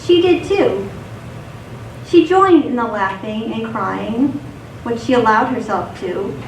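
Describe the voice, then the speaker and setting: A woman reading scripted poetry and stories in a measured, recited delivery for radio theatre. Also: fairly high pitch, expressive. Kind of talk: scripted poetry and story narration. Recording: distant echoey stage recording, noisy.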